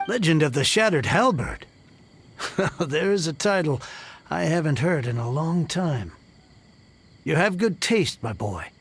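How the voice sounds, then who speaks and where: An actor playing a bitter, bankrupt book collector in a video game, curt and theatrically self-pitying, with crickets in the background. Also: male voice, fairly high pitch, sing-song, takes it slowly, long pauses, a bit bright, a little hiss or hum, exaggerated voice. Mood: reverent, wistful.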